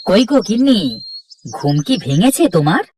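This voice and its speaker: A woman voicing a poor young male laborer who talks softly and hopefully, pleading with his wife. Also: mid-range voice, sing-song, moderate pace, slight background noise, lightly acted. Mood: eager. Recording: distant voice with cartoon music behind.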